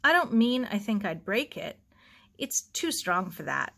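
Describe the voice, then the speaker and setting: Woman voicing a bright, curious young girl. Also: medium pitch, sing-song, a little slow, creaky, full character voice. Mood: curious, hesitant.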